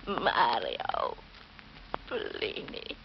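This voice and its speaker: A performer playing a dying aunt gasping out words in 1940s radio horror, on a muffled old recording. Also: male voice, medium pitch, wildly swooping pitch, drawn-out words, a little hiss or hum, heavily acted. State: gasping, failing.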